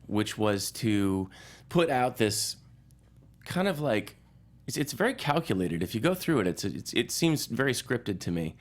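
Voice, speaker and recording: Male guest critic, lowish voice, conversational, works out opinions aloud with repeated phrases. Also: very animated, measured pace. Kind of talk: casual movie talk.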